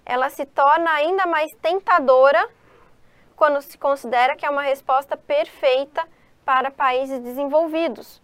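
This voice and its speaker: High-voiced woman teaching exam questions. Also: normal speed, no room sound. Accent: Brazilian Portuguese. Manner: explanatory, addresses students, asks and answers own questions. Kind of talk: exam-prep lesson, reading and explaining questions.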